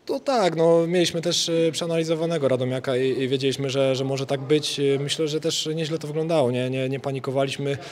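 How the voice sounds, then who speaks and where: A young man, a football player, answering post-match interview questions hesitantly, repeating words and filling pauses. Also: medium pitch, fairly even intonation. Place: stadium interview area before sponsor wall. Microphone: handheld reporter mic.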